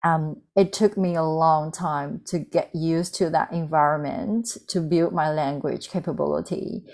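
Woman, 30s, Mandarin Chinese accent, native Mandarin speaker, gravelly voice, candid storytelling. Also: sits low, fairly even intonation, unhurried, darkish tone. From China. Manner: earnest, candid, with frequent ums and restarts. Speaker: guest. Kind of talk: reflective personal storytelling.

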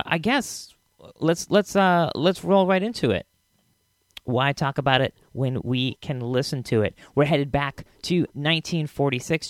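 A man's voice: medium pitch, no room sound. A man hosting a podcast, introducing an episode in a casual, chatty way. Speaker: podcast host.

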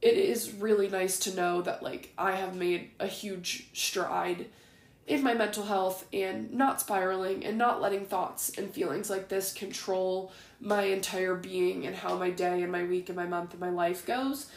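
A woman in her twenties hosting a podcast, rambling candidly in a raspy, groggy voice with plenty of ums. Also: mid-range voice, fairly even intonation, measured pace, reverberant. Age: 20s. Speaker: podcast host. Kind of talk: casual solo ramble.

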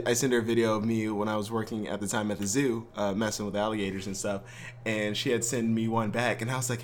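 Bright-voiced man telling a rambling story. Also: fairly low pitch. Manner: rambling, self-deprecating, laughs through his story. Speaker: podcast co-host. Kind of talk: casual storytelling chat.

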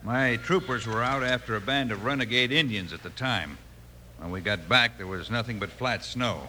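Cavalry captain, American: genial, businesslike, very sharp bright voice, old radio recording with faint noise. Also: male voice, mid-range voice, moderate pace. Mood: matter-of-fact. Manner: courteous, matter-of-fact. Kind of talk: old-time radio western acting.

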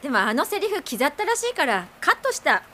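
A woman's voice: sits high, very animated, fast talker, very noisy. An actress playing a curt, aloof woman writer in a radio drama, speaking in clipped, terse phrases. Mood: curt, guarded.

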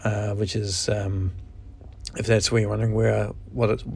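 A man in his forties with a New Zealand accent, hosting his own podcast in a reflective, halting way with frequent restarts. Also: on the low side, little pitch movement, creaky, a little mellow, breathy edge. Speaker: podcast host. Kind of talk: candid solo podcast monologue.

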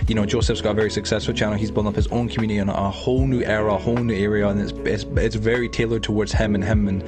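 A man's voice: on the low side, level tone, rapid-fire, music in the background. A Scottish man from Edinburgh hosting a casual podcast chat over an online call, rambling with lots of restarts and fillers. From Scotland. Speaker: podcast host.

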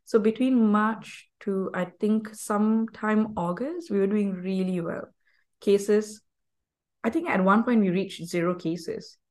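A woman's voice: mid-range voice, unhurried. Chatty woman. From Malaysia. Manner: chatty and animated, heavy use of "like". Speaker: guest. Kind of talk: casual conversational chat.

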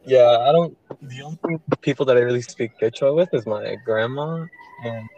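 A man's voice: mid-range voice, moderate pace, mellow, no room sound, highs cut off. A teenage boy whose first language is Spanish, co-hosting a casual chat in an easygoing way and asking follow-up questions. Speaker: co-host.

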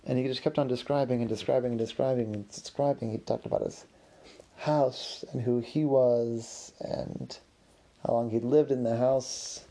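Gravelly, mellow man telling a casual story. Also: medium pitch. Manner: rambling, conversational, acts out characters' remarks in an exasperated way. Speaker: storyteller, host of a story podcast. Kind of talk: improvised spoken storytelling, casual.

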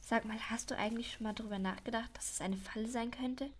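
A woman's voice: a little high, steady tone, fast, a little hiss or hum, natural voice. A woman playing an eager, talkative child detective in a children's audio drama, reasoning aloud with emphasis. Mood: suspicious.

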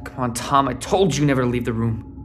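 A man's voice: medium pitch, brisk, slight background noise, close to an everyday voice. A man playing an anxious, defensive teenage boy stranded and searching, in an audio fiction drama. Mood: worried, searching. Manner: hesitant, stammering when challenged. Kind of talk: audio fiction drama acting with spoken thoughts. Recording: voice over prominent music bed. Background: dramatic music.

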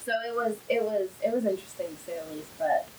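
A woman co-hosting a casual podcast from home on a cheap mic, chatty and quick to laugh. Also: mid-range voice, slight background noise, rough recording. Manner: chatty, laughs easily, self-deprecating. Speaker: podcast co-host. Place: home, dog nearby. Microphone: cheap microphone.

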